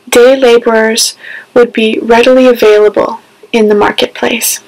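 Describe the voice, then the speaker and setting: A woman in her thirties reads scripture from a script. Her voice is fairly high and stays even, and she takes her time with careful, deliberate phrasing. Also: rough recording. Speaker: scripture reader. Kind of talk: scripted scripture reading and introduction. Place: small home room, indoors.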